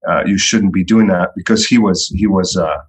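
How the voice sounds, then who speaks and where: A middle-aged man from Belgium, a guest telling his story in a podcast interview, relaxed and repeating words often. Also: deep voice, moderate pace, slightly rough. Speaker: entrepreneur guest.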